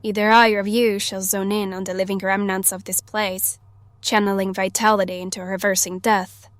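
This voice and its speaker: A woman's voice: medium pitch, level tone, normal speed, dry recording, natural voice. A woman acting in an audio drama as a mysterious, earnest maiden and fearless ally with cryptic, formal phrasing. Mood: assured, solemn.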